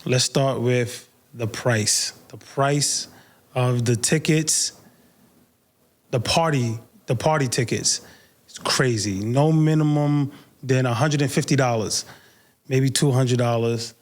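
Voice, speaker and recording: A man's voice: medium pitch, slow. A man with a New York accent hosting a podcast solo, talking casually and unfiltered with slang and swearing. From Brooklyn, New York, United States. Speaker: podcast host.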